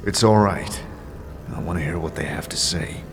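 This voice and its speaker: A man's voice: sits low, moderate pace, gravelly, noisy background, pitched below the performer's own voice. A man voicing an immortal male wanderer who talks earnestly and asks pointed questions.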